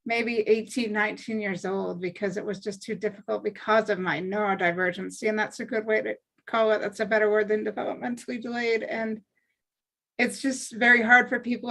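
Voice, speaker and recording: Woman writer, earnest and heartfelt, mid pitch, video call. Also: creaky, a bit bright. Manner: earnest, heartfelt, speaks in long explanations. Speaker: writer and table read cast member. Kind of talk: casual group discussion.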